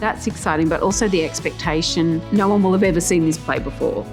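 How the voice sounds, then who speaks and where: Lowish-voiced woman, guitar behind. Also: a little fast. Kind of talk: casual interview.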